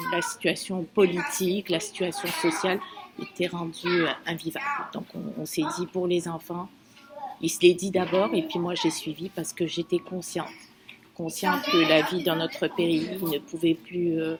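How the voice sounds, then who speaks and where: A woman's voice: lowish voice, steady tone, low-quality recording. Earnest woman in her fifties. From Algeria. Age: 50s. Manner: earnest, hesitant, many pauses and fillers. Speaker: interviewee. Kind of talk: casual interview answers.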